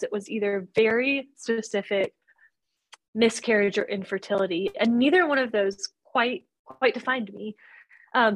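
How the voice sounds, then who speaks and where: A woman's voice: medium pitch, rough recording. A woman in her thirties, raised in North Carolina, speaking earnestly as a guest author in a casual interview, with frequent fillers. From born in Romania, raised in North Carolina. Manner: earnest, thoughtful, with many fillers.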